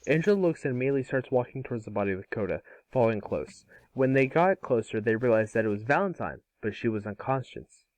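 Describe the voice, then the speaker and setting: Halting male narrator, close and dry. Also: mid-range voice, expressive, darkish tone, no room sound, low-quality recording. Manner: halting, stumbling read-aloud delivery. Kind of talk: amateur table-read narration of a script.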